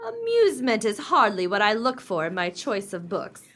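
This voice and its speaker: A woman acting in a radio play as a haughty, high-minded society matron with a prim, emphatic delivery. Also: wildly swooping pitch, moderate pace, a bit bright. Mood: disapproving.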